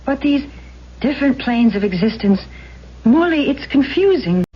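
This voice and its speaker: A bewildered woman speaks in a highish, husky voice. She is hesitant and pleading in 1970s radio drama style. The old recording sounds dull. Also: big pitch swings, normal speed, full character voice. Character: bewildered, hesitant woman, Amanda, confiding in a ghost.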